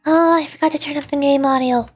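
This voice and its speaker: High-pitched woman streamer, soft and casual. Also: fairly even intonation, quick pace, faint music behind. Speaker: VTuber game streamer. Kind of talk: casual gaming livestream chat.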